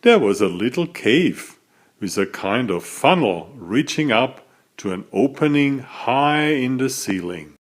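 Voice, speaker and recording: A man reading a children's story aloud as a narrator, in a deliberate, dramatic storytelling manner. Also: medium pitch, sing-song. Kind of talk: expressive scripted storybook narration.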